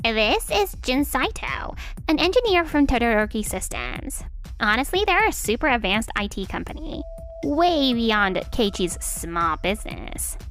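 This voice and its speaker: Dramatic manga-dub wife in business, played by a woman, very high swooping voice, defensive. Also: very animated, unhurried, a bit bright. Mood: presenting. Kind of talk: anime-style manga dub acting. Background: music.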